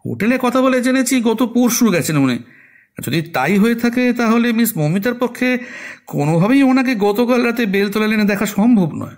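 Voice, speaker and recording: A man voicing a helpful police inspector who reports by phone in a brisk, obliging way. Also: very high voice, lively intonation.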